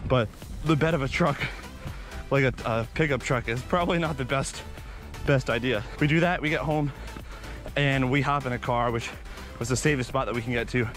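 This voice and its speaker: A young man vlogging outdoors on a beach, telling animated stories while running, slightly out of breath, into a body-mounted camera. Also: medium pitch, normal speed, soft background music. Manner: animated, excitable storytelling with sound effects. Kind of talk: casual storytelling while jogging. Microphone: body-mounted action camera.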